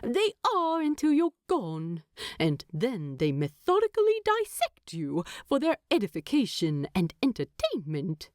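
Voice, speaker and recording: A woman's voice: a little high, wildly swooping pitch, measured pace, darkish tone, no room sound, clean studio sound, pitched above the performer's own voice. A woman voicing a fussy, teasing, proud male hobbit homebody in an audiobook, with a wordy, exasperated manner. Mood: bitter, exasperated. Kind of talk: audiobook character dialogue.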